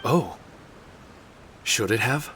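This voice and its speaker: A man voicing a humble, polite and pensive spirit in a video game, speaking in a measured, courteous way. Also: medium pitch, animated, bright, forceful tone, a little hiss or hum, heavily acted. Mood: uncertain. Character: gentle, humble, polite living paper spirit, male, pensive. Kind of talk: video game cutscene acting.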